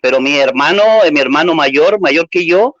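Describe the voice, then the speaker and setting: A man's voice: on the high side, normal speed, fairly bright tone, no room sound. A man from Chile with a Chilean accent, a guest in a casual interview, hesitant and full of fillers. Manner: hesitant, many fillers, slightly nervous. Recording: dry lo-fi sound.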